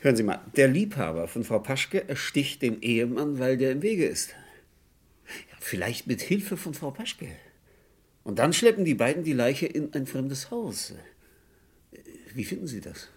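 An actor playing a polite but insistent, slightly nervy householder under suspicion in a vintage crime radio play. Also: male voice, mid-range voice, sing-song, unhurried, slightly rough. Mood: eager, theorising. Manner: courteous, hesitant, insistent. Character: polite, eager male householder, a nervy suspect.

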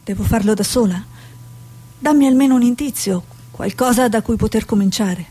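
Woman acting a breathy, driven investigative journalist. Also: on the high side, normal speed, warm tone. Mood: troubled, searching. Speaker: actor in a radio play. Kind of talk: dramatic stage monologue and dialogue.

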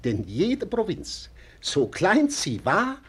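An actor playing a sly, pedantic agitator in a theatrical 1940s radio play, lecturing and insistent. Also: male voice, sits high, huge pitch swings, slow pace, fairly bright tone, husky, exaggerated voice. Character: rabble-rousing clerk, sly, pedantic and agitating.

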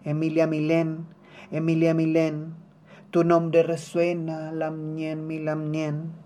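A Chilean poet recites an elegiac protest piece at a slow, deliberate pace. The voice is mellow and middle-pitched, with a narrow, even melody. Phrases return like a litany, delivered solemnly. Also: slight background noise. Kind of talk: spoken-word poetry recitation, elegiac protest poem.

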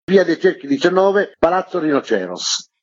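A man's voice: fairly high pitch, sing-song, normal speed, dry recording. A man being interviewed as a guest artist, explaining his work with enthusiastic emphasis. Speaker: guest artist and exhibition curator. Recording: dull, lo-fi radio interview sound.

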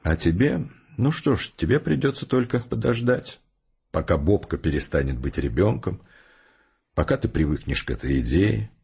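Low-voiced, determined philosophical scientist. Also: male voice, sing-song, creaky, a little mellow, husky, full character voice. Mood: resigned, gentle. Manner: deliberate, explanatory, earnest. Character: resolute philosophical male scientist, calm, determined, reasoning patiently. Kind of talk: audio drama acting. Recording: muffled, with prominent music behind. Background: music.

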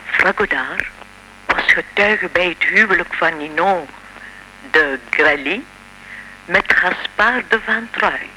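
Bright-voiced, mysterious old lady. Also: fairly low pitch, takes it slowly, pauses often, a little hiss or hum, exaggerated voice, poor audio. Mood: composed, revealing. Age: older. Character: mysterious old lady from southern France, knowing and composed. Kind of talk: radio play acting.

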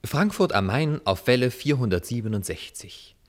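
An actor playing a hesitant, obedient radio announcer in a radio play, halting and subdued. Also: male voice, medium pitch, animated, lightly acted.

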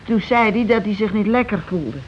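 An actress in a radio play as a shaken working-class housewife who speaks haltingly, repeats herself and falters mid-sentence. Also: female voice, mid-range voice, close to an everyday voice. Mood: matter-of-fact, dazed. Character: shaken working-class housewife circling around grim news. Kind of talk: naturalistic radio play dialogue. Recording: muffled, noisy old radio broadcast recording.

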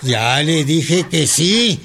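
A man's voice: a little high, animated, extremely slow, creaky, a bit bright, slight background noise, full character voice. An actor with a Mexican accent playing a loyal, cautioning go-between who fears his boss, in a radio drama. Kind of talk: Spanish-language radio drama dialogue.

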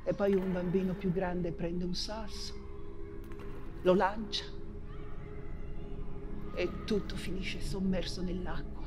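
An actress playing an imprisoned, nostalgic Russian exile writer in an intense, halting theatrical monologue, repeating words for emphasis. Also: female voice, lowish voice. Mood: fearful, sorrowful. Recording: music under the voice. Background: music.

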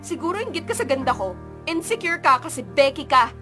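A woman's voice: sits high, very animated, brisk, sharp tone, faint music behind, heavily acted. An actress playing a feisty, indignant young female student in a Filipino radio drama, with an emotional, dramatic delivery. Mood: angry, tearful. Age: young adult.

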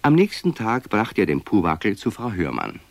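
Deep, animated male storyteller. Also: creaky, no echo, heavily acted. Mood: genial, amused. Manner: expressive, measured storytelling. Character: genial storyteller of a children's tale. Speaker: narrator. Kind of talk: scripted children's radio play narration.

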